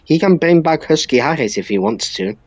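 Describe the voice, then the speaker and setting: A man's voice: a little high, expressive, brisk, dry recording. A man co-hosting a wrestling podcast in casual group chat, speaking bluntly and with strong opinions.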